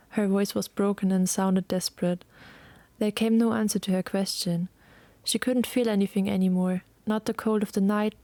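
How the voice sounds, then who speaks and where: A woman narrating a scripted dramatic story in a measured, solemn way. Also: medium pitch, dark tone, no room sound.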